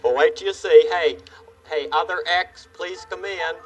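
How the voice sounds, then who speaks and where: A man's voice: a little high, steady tone, a little slow, distant mic, muffled recording, music in the background, natural voice. A man voicing a jolly, folksy male owl puppet on children's television, brash and friendly, full of exclamations. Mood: jolly, teasing.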